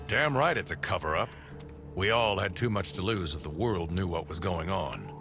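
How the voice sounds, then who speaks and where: Game military officer: American, gruff, cynical swagger. Very low voice, music underneath. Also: male voice. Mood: cynical, blunt. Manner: blunt, sardonic, drill-sergeant swagger. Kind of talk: video game cutscene acting.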